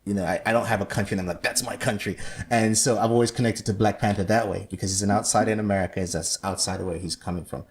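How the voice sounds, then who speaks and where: Low-voiced male podcast host. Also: fairly even intonation, very fast. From Zambia. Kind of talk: casual podcast discussion.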